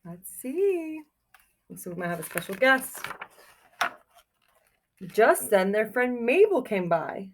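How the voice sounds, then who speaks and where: Expressive woman reading aloud, very lively pitch. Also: slow, long pauses, a little hiss or hum. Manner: expressive, encouraging, exclaims softly over pictures. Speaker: teacher reading a picture book aloud to young children. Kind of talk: children's read-aloud with questions to listeners.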